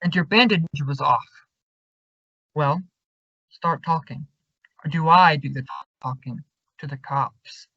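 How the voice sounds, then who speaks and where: A man's voice: fairly high pitch, slow pace, long pauses, sharp tone, dry recording, exaggerated voice. An actor playing a suspicious, confrontational young man, insistent and accusing, in an old-time radio suspense drama. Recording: dull, lo-fi audio.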